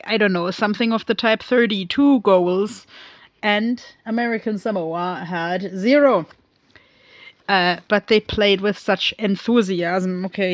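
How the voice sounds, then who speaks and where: A woman recapping a film in a casual, rambling way, with many pauses and fillers. She speaks English as a second language and often goes off on tangents. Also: mid-range voice, no echo. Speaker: film reviewer.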